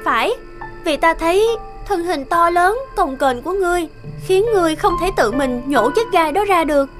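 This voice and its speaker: A woman's voice: very high-pitched, lively intonation, normal speed, music in the background. A woman voicing a brave, earnest young princess in a fairy-tale cartoon, speaking in a formal, resolute way. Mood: gentle, earnest.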